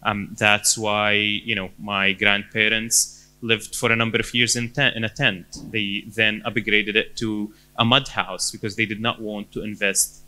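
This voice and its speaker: A man in his thirties with a Syrian accent, answering interview questions in a halting way, with many fillers and restarts. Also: fairly low pitch, level tone, sharp tone. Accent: Syrian Arabic. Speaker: interview guest.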